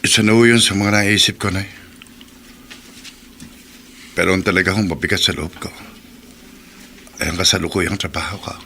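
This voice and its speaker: A troubled soldier in a radio drama, calm and earnest. His voice sits in a mid range with a bright, forceful edge, over a faint hum of ambience. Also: low-pitched, moderate pace, creaky, long pauses, full character voice. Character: soldier son, serious and worried.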